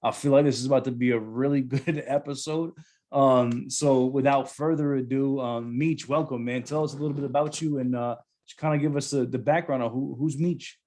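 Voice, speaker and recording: Laid-back podcast host with many ums. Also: male voice, mid-range voice, brisk. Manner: relaxed, hesitant, many ums. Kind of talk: casual podcast chat.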